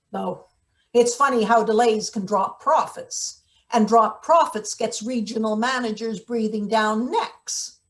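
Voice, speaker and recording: Actress doing a tough older forewoman, kinda high voice, dry and sarcastic, wordy, stage play read. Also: a bit bright. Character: sardonic, hard older forewoman of maintenance workers. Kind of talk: live table read of a satirical play.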